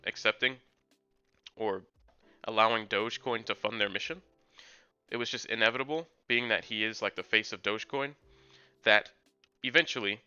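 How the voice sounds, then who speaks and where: A man's voice: lowish voice, sharp tone, smooth. A young man streaming live from home, giving upbeat chart commentary to chat viewers while losing his voice from a sore throat. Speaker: crypto trading streamer. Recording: dull lo-fi sound with faint music. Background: faint background music.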